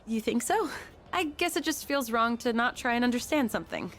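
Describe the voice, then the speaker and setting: A woman's voice: fairly high pitch, expressive, fairly quick, fairly bright tone, faint music behind. A woman playing an upbeat, sporty teenage schoolgirl in a video game, chatty, frank and playful. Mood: determined. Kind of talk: English video game voice acting.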